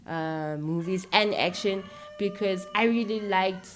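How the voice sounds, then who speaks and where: A woman with a South African accent chatting casually and telling stories as an interview guest, in an informal, animated manner. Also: mid-range voice, unhurried, a little hiss or hum. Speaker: interview guest, actress and filmmaker. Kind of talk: casual conversational storytelling.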